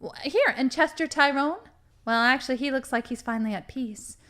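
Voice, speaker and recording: A woman's voice: sits high, brisk, sharp tone. A woman acting as a boastful, theatrical villain, a cheerfully murderous crime boss in a comedy audio drama. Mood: gleeful. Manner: gleeful, boastful, dramatic monologuing.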